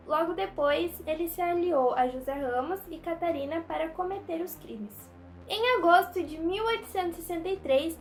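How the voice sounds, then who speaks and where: A young woman recounts a true crime case in a loose, chatty way. Her voice sits very high, and she often tags her lines with quick questions and small fillers. Soft music plays faintly underneath. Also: poor audio. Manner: light joking asides. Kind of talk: casual spoken storytelling.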